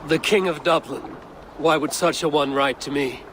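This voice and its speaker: A man performs a resolute Viking warrior for a game cutscene. His voice sits a little high for a man and he talks in short, plain, commanding lines, wary of others. Faint ambient noise sits behind him. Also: fairly even intonation, normal speed, a bit bright, close to an everyday voice. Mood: wary, dismissive. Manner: terse, direct, plain-spoken. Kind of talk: video game cutscene acting.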